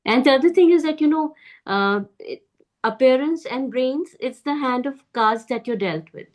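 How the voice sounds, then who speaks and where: A woman's voice: sits high, animated, normal speed, no echo. A woman shares her views in a casual book club discussion.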